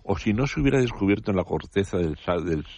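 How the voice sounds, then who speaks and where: A man's voice: deep voice, expressive, fast talker, a little mellow, slightly rough, lo-fi sound. An older man with a Spanish accent, explaining nature topics as a radio guest in a professorial, digressive way, with touches of humor. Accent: Spanish from Spain. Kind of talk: casual radio chat. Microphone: studio mic.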